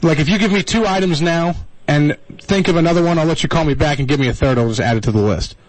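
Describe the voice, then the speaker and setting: A folksy man hosts a live call-in radio show. His voice sits a little high and sounds dry and close. He talks in an exasperated way, repeating himself as he explains things. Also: fast, dry recording, rough recording.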